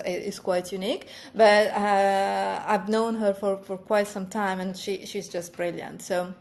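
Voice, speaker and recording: A woman's voice: fairly high pitch, darkish tone. A woman hosting a conference session, introducing the next speaker in a friendly, filler-heavy way. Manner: unhurried, friendly, with many fillers.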